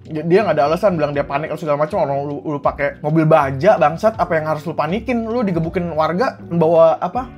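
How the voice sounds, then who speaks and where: A man's voice: a little high, fast talker, hardly any pauses, a little mellow, faint music behind. A young man from Indonesia, ranting angrily to camera as a vlogger, blunt and swearing often. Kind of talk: heated commentary to camera.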